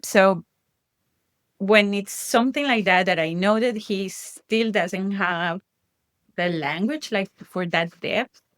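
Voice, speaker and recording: A woman's voice: medium pitch, slow pace, no room sound. A woman from Colombia with a Colombian Spanish accent, a native Spanish speaker talking reflectively as a guest parent, with many restarts. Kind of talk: casual conversation.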